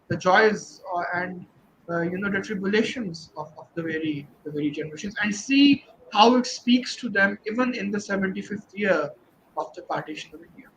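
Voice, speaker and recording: Male guest, high pitch, halting conversational delivery, over a video call. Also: a bit bright, lo-fi sound, slight background noise. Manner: hesitant, frequent "you know" and "uh". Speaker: guest speaker on literature. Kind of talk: conversational talk at a virtual event.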